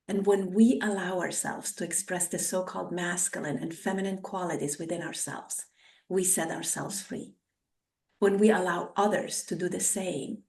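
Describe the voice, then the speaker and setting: A woman's voice: on the low side, creaky, a little mellow. A woman hosting a podcast, recorded in an echoing space at some distance from the mic. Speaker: podcast host. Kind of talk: scripted introduction, then casual conversation. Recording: roomy echo, distant from mic.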